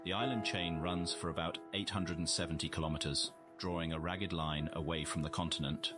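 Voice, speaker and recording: A man playing a solemn scientific observer, narrating a visual novel in a measured, formal nature documentary style. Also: low-pitched, steady tone, over loud music, close to an everyday voice. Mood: informative. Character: solemn male scientific observer narrating alien whale life.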